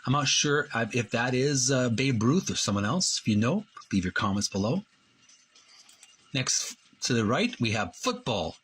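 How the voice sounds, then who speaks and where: A man hosting a video channel, reading aloud and commenting in a halting way, often repeating and correcting his phrases. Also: medium pitch, lively intonation, fairly bright tone, a little hiss or hum. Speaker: host of a retro video game channel. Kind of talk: read-aloud book walkthrough with commentary.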